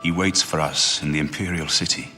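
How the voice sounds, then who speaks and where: A man playing a solemn, resolute royal heir in a video game, speaking in an earnest, measured, formal way. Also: very deep voice, fairly even intonation, bright, forceful tone, faint music behind. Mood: grave, determined. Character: solemn, resolute male heir to an imperial throne. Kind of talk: video game dramatic dialogue.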